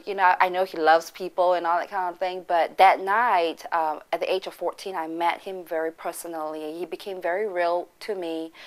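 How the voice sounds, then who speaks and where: A woman from Singapore, giving an earnest personal faith testimony as a church member, pausing often as she reflects. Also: on the low side.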